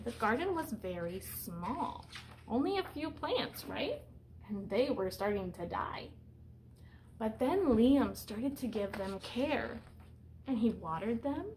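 Slow, higher-pitched woman reading to children. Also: slight background noise, rough recording. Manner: instructive, simple phrasing aimed at young children. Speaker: teacher reading aloud to children. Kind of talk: picture-book read-aloud with questions to young listeners. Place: small room indoors.

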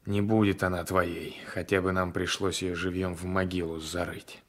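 An actor playing a brooding, passionate gendarme and former lover with a menacing streak, in a radio drama. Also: male voice, low-pitched, little pitch movement, normal speed, lightly acted. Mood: bitter, passionate. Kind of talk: Soviet 1980s radio drama acting.

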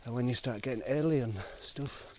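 A man of middle pitch thinks aloud as he cycles along country lanes, wandering from one thought to the next. His voice comes through a bike-mounted camera, with steady wind and road noise behind it. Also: normal speed. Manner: musing, wandering, loose and unscripted. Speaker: vlogger. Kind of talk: rambling monologue while cycling. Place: outdoors on a country road and woodland, riding a bike. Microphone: action camera on the bike. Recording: noisy outdoor action-camera audio.

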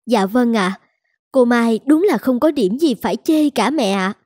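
A woman's voice: a little high, lively intonation, measured pace, a little mellow, no room sound, clean studio sound. A woman voicing a polite, dutiful young mother in a cartoon, speaking earnestly. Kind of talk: cartoon dubbing.

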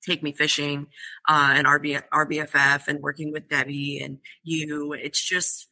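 Bright-voiced young woman, earnest guest. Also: sits low, level tone, normal speed, low-quality recording. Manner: earnest, many fillers and restarts. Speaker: college fishing club founder, guest. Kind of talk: podcast interview answers.

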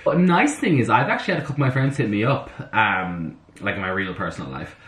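A slightly hoarse young man chatting excitably to camera in his bedroom, talking to himself as he reacts to music. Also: lowish voice, fast talker. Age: young adult. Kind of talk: casual reaction chat. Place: bedroom at home, indoors. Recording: roomy bedroom with faint music. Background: soundtrack music playing faintly.

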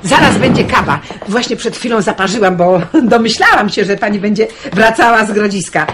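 A woman's voice: mid-range voice, fairly quick, a bit bright, a little hiss or hum, close to an everyday voice. A woman playing a hospitable, chatty village schoolteacher in a radio play. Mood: welcoming.

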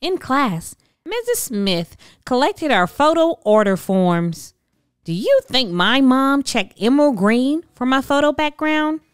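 A woman's voice: high-pitched, sing-song, takes it slowly, clean tone, no room sound, clean studio sound. A woman reading a children's story aloud expressively, drawing out key words with theatrical emphasis. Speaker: storybook narrator. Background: background music.

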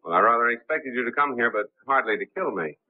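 Man playing a suave 1930s gentleman detective. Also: mid-range voice, animated, fast, natural voice. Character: confident gentleman amateur detective. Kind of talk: 1930s film acting. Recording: tinny old film soundtrack.

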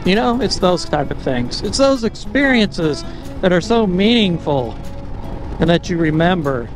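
A man with a fairly high voice talks loosely while he rides a motorcycle, thinking aloud and chuckling at his own jokes. Music plays clearly behind him. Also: very animated, unhurried. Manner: rambling, self-amused, thinking aloud. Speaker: motorcycle vlogger. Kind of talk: casual ride commentary. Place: on a motorcycle on mountain roads.

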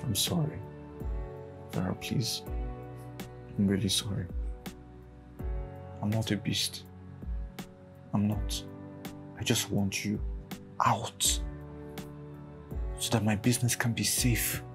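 A man's voice: fairly low pitch, steady tone, slow, pauses often. A remorseful boss mutters anguished self-reproach and prayer, played by an actor. Faint music sits under his voice. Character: guilt-ridden male boss talking to himself.

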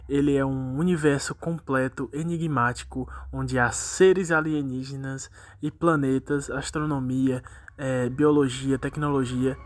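Brazilian guy doing youtube narration, kinda high voice for a man, informal explainer style. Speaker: YouTube host. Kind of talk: informal video essay narration on horror series.

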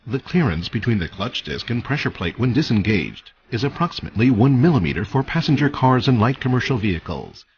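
A man narrates a technical training video from a script. His delivery is formal and measured, with a widely varied intonation at an average male pitch. Faint noise sits behind the voice. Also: darkish tone, lo-fi sound.

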